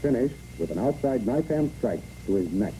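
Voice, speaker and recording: Male training film narrator, very dark muffled tone, clipped commands, swooping pitch, old recording. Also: lively intonation, moderate pace, slight background noise. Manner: clipped, imperative delivery. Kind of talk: scripted instructional narration.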